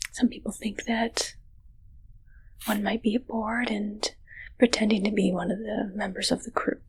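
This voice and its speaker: Woman playing an awkward, formal alien posing as ship's medic: hushed ASMR whisper, very unhurried, halting, faint noise behind. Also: on the high side, poor audio. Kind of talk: ASMR roleplay, mostly whispered.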